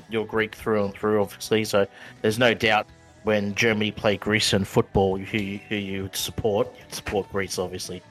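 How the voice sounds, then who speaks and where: A man's voice: on the low side, fairly bright tone. A man hosting a podcast chat, rambling with many stumbling restarts. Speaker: podcast host. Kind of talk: casual chat.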